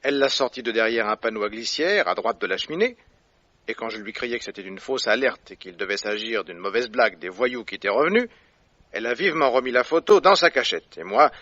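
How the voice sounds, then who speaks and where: Radio detective: self-assured, shrewd, theatrical, sharp-toned and lively, muffled old broadcast sound. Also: male voice, medium pitch, fairly quick, no room sound. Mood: confident, triumphant. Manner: theatrical, explanatory, confident. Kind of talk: French radio drama acting. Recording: dull, dry old radio recording.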